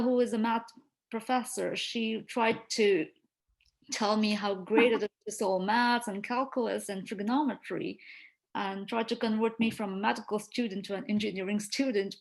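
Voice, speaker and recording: A woman's voice: medium pitch, creaky, low-quality recording. A young woman from India, whose first language is Marathi, speaking earnestly and articulately as an interview guest, with small hesitations. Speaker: interview guest, medical student. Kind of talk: casual interview chat.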